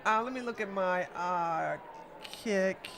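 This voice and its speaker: A woman hosting a casual livestream, chatting with her viewers and reading their messages. She greets them with loud, high exclamations and laughs often as she teases her chat. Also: takes it slowly, a faint crowd, a little hiss or hum. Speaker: livestream host.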